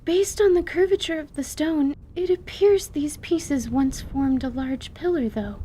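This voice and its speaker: A high, gentle voice of a bookish young sorceress, acted by a woman for a fantasy radio play. She chooses her words formally and with care, slightly hesitant. A faint rumble sits behind the recording. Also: measured pace. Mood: earnest, measured. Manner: formal, careful, hesitant phrasing.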